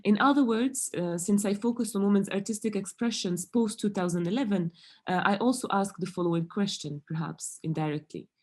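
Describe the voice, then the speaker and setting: A young woman from Morocco, a doctoral researcher giving a conference presentation in a careful, measured way with frequent hesitant fillers. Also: mid-range voice, a little mellow. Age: young adult.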